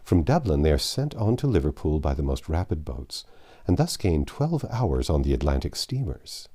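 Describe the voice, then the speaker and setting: A man voicing a composed, resolute English gentleman traveler, speaking calmly and formally for a storybook. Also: a bass voice, moderate pace, full character voice. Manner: calm, formal, direct.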